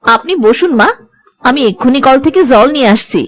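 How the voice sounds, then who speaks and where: A woman's voice: high voice, animated, fairly quick. A woman playing a dutiful, chatty young village daughter-in-law in homely cartoon dialogue.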